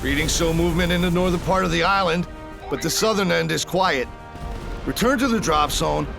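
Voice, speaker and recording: An actor playing an anxious tech operator in a video game, with an urgent, worried, technical manner. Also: male voice, on the high side, brisk, creaky. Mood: concerned instructing. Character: anxious male tech operator aiding a soldier by radio. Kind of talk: video game cutscene acting. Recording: music behind the voice. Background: music.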